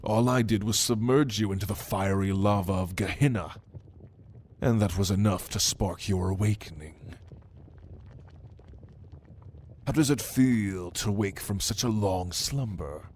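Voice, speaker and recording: A man playing a haughty, hungry demon who was a prince's right hand, speaking slowly and intimidatingly to the listener in an ASMR audio roleplay. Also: lowish voice, darkish tone, a little hiss or hum, lightly acted. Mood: musing, sardonic. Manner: slow, deliberate, intimidating, dryly teasing.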